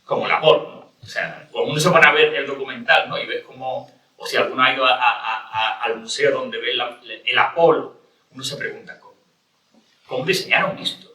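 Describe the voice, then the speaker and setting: A man's voice: medium pitch, fairly quick, pushed and bright. A man from Cádiz speaking on a panel, rambling with many restarts and rhetorical questions. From Cádiz, Spain. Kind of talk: panel discussion. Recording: echoey room from a distance.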